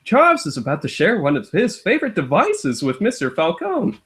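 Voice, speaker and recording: A man's voice: high voice, wildly swooping pitch, a little fast, a bit bright, exaggerated voice. A man playing the narrator of a children's storybook, reading aloud in a sing-song way. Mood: cheerful storytelling.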